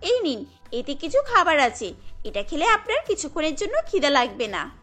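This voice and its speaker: A woman plays a little beggar girl from a cartoon tale. The voice is extremely high and bright, sweet and earnest, with a caring childlike manner. Music sits faintly behind. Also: big pitch swings, moderate pace. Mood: kind, consoling.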